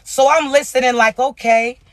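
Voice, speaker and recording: Raspy, loud man with a very high voice and a dry, close sound, delivering a spiritual reading slowly and emphatically. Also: steady tone, no echo. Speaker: spiritual message reader. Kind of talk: casual spiritual message to viewers.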